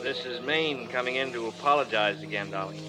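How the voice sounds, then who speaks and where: An actor playing a charming, jittery, self-mocking husband and fallen star in vintage radio drama style, with light, faltering banter. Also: male voice, mid-range voice, brisk, background noise, close to an everyday voice. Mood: apologetic, jittery. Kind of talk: 1930s-40s radio drama acting. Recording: old radio recording with music behind.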